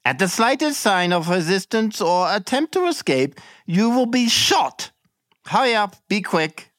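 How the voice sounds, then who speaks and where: A curt, brusque little officer snapping orders in a high, clipped voice, as a man puts him on. Each command is pushed out loud and sharp. The recording is dry and close. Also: big pitch swings, a little slow, no echo, full character voice. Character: short brusque arresting officer giving orders.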